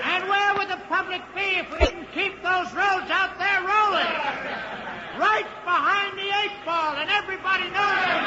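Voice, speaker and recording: An actor playing an angry rabble-rousing engineer in a declamatory 1950s radio drama style. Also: male voice, very high-pitched. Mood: rousing, defiant. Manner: forceful, declamatory, defiant. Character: angry rabble-rousing engineer leading a rebellious union meeting. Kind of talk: 1950s radio acting, shouted speech to a crowd. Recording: muffled noisy old radio, crowd behind.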